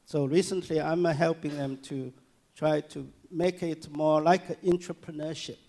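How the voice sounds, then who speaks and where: A man giving a public lecture and answering audience questions, speaking haltingly with many fillers and restarts. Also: a little high, steady tone, mellow. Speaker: guest lecturer. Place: lecture hall.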